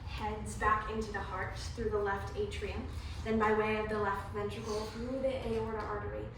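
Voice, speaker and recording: A woman giving measured, explanatory scientific narration, scripted for the stage. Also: mid-range voice, flat delivery, lightly acted. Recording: echoey hall, distant, clear noise.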